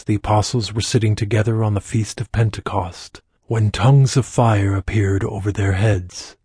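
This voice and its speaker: A man voicing a calm, knowing male trickster sage in an audiobook, explaining things levelly with a husky tone. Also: on the low side, no room sound, studio quality. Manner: flat, level, explaining calmly. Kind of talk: audiobook character voice.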